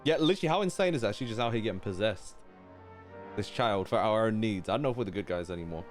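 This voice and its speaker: A man's voice: on the low side, animated, quick pace, faint music behind. A man streaming a game, giving casual, slangy reaction commentary in wry, offhand asides.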